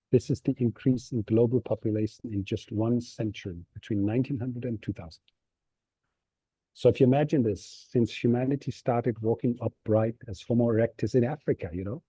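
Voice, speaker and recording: A middle-aged man from Denmark giving a relaxed, explanatory webinar presentation over a video call. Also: medium pitch, breathy edge, no room sound, rough recording. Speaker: nonprofit executive director giving a talk. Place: seated on stairs of a train station.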